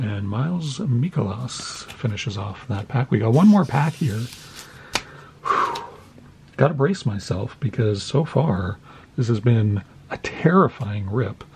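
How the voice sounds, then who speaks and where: A man from the United States, slightly hoarse, opening trading cards at his desk at home and narrating each pull with deadpan mock horror. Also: fairly low pitch, a little hiss or hum. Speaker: card-opening channel host. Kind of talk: mock-scared card-opening commentary. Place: home desk with Halloween decor.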